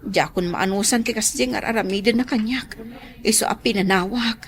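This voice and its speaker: Radio drama: actress as a remorseful ex who pleads, mid-pitched voice. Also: female voice, moderate pace, natural voice. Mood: pleading. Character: woman Mirna, remorseful former lover, pleading.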